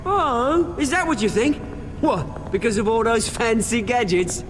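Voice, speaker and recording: A man's voice: very high voice, measured pace, noisy background. A man playing a cocky, foul-mouthed British crime boss villain in a video game, with a taunting, sneering, theatrical delivery. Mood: menacing, boastful.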